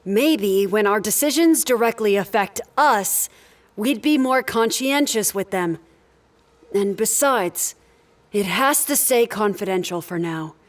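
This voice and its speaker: A woman's voice: mid-range voice, lively intonation, unhurried, natural voice. A stern female crew leader in a sci-fi audio drama, acted by a woman. She talks in a firm, commanding way and gets straight to the point, with a mid-range voice.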